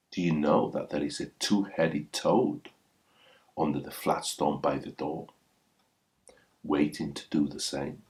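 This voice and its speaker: A man voicing a poor young folk hero, bold, solemn and commanding, in a storybook reading. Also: a bass voice.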